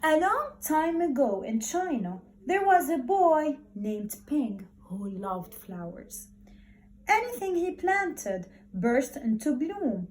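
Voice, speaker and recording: A woman primary school teacher speaking to young children in an encouraging, expressive way, repeating words for emphasis as she talks and reads aloud. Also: high voice, slow pace, poor audio.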